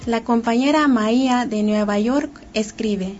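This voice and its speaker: Slow-talking woman radio host. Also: on the high side, over music. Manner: slow, measured address to listeners. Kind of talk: reading out listener messages. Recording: dull lo-fi community radio sound.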